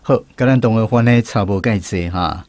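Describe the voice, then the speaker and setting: A man's voice: on the low side, moderate pace, darkish tone, no room sound. A man with a Taiwanese accent hosting a language lesson segment on the radio. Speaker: radio host.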